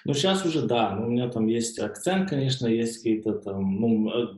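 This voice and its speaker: A man's voice: medium pitch, normal speed, a little mellow, roomy sound. A man who works as a stand-up comic and screenwriter, chatting casually as a guest over a video call, hesitant, with lots of fillers and restarts. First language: not English.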